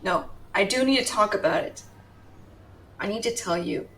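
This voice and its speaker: A woman's voice: mid-range voice, expressive, normal speed, fairly bright tone, far from the mic, a little hiss or hum. A woman playing a fiercely protective, tender tsundere girlfriend in an intimate, emotional roleplay audio, blunt in her affection. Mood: tender, vulnerable. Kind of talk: dramatic roleplay audio, intimate second-person acting.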